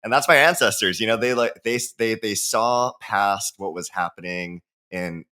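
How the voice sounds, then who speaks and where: A man from Hawaii, a guest author in a casual interview, talking in an animated way with frequent laughs and many "you know"s. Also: lowish voice, moderate pace, smooth.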